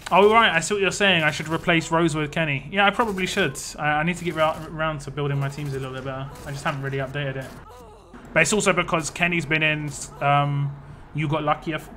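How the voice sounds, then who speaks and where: A man's voice: on the high side, pushed and bright. A man casually chatting on a live stream, reading viewer comments aloud and answering them as he plays. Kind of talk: casual live stream chat while playing a mobile game.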